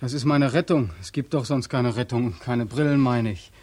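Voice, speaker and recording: An actor playing a bitter, haggard young war veteran in a sardonic, impassioned way, in 1940s German radio drama style. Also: male voice, mid-range voice, moderate pace, darkish tone, close to an everyday voice. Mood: bitter irony. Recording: vintage 1940s radio recording.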